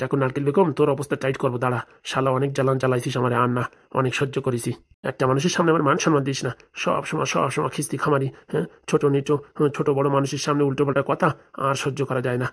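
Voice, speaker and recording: Man acting fast-ranting vengeful cartoon villager. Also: mid-range voice, close to an everyday voice. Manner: ranting, colloquial scolding. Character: fed-up, vengeful village man plotting revenge. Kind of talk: comedy cartoon dubbing.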